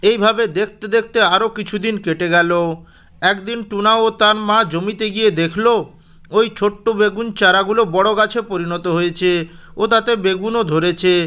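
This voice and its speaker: A man's voice: sits high, steady tone, normal speed, bright, forceful tone, clean tone. A man narrating a scripted cartoon fairy tale as its storyteller.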